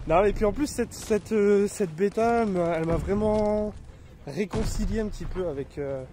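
Rambling French gamer with a cold. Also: male voice, high voice, a little slow, dark tone, slight background noise. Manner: rambling, many fillers and restarts. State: has a cold. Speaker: gaming YouTuber. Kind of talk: casual chat over gameplay. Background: game gunfire and effects.

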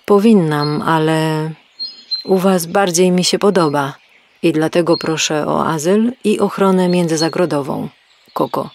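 A woman's voice: deep voice, a little slow, a little mellow, slight background noise. A woman voicing a stubborn, self-important hen who clucks after her phrases in a children's radio play. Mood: officious. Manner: officious, clucking at phrase ends. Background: birdsong.

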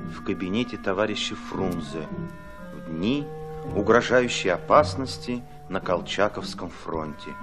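An actor playing an army commissar who narrates his war memories reflectively in a radio drama. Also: on the low side. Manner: reflective, storytelling.

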